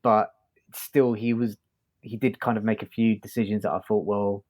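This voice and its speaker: Halting man with a lowish voice. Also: flat delivery, a little mellow. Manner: halting, thinking aloud. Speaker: podcast co-host reviewing audio drama. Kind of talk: casual review chat.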